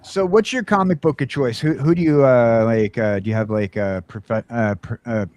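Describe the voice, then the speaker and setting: A man's voice: mid-range voice, normal speed, darkish tone. A man hosting a casual podcast who talks in an eager, chatty way and reads out viewer comments.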